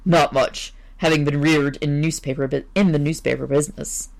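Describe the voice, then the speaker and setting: A woman voicing a suave, calm male amateur detective who reasons things out deliberately. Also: low voice, creaky. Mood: calm reasoning.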